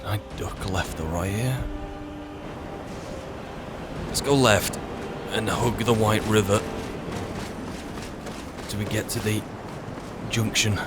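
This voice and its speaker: A man's voice: fairly low pitch, fairly even intonation, takes it slowly, long pauses, heavy background noise. A British man doing in-character roleplay commentary on a video game, talking wryly and conversationally as if muttering to himself. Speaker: gamer playing a roleplay character. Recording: voice over game music. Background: video game music and sound.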